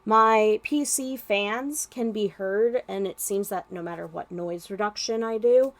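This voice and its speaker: A woman reading a story as narrator, with expressive, dramatic delivery. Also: mid-range voice, unhurried. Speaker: podfic narrator.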